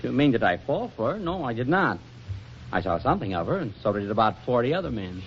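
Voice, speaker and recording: An actor playing a put-upon, good-humored husband with dry, sardonic delivery in a 1940s radio comedy. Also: male voice, medium pitch, big pitch swings, fast. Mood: distracted, dry. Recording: muffled, noisy 1940s radio recording.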